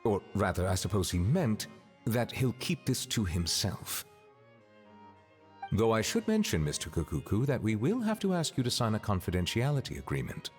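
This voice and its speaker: A composed male judge from a video game, formal and polite in his wording. The voice sits low and rings in a long reverb, with soft music underneath. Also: huge pitch swings, moderate pace. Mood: courteous. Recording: hall-like reverb. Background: soft orchestral music.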